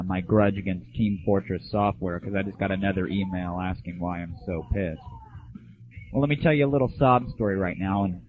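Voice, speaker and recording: Male show host, phone-like lo-fi sound, crude and sarcastic, informal solo griping about games. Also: mid-range voice. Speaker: host of a gaming audio show. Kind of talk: informal solo rant and commentary. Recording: dull lo-fi 1990s internet audio, phone-like.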